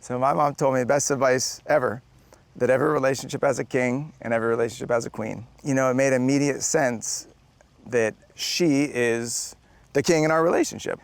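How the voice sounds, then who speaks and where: Give me a gravelly-voiced man speaking slowly and casually in an interview. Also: medium pitch, fairly even intonation, no room sound. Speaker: interviewee, husband.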